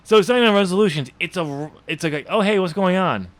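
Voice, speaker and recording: A man co-hosting a podcast in casual unscripted chat, talking haltingly and often correcting himself. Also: high voice, no echo. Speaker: podcast co-host, author discussing own novel draft.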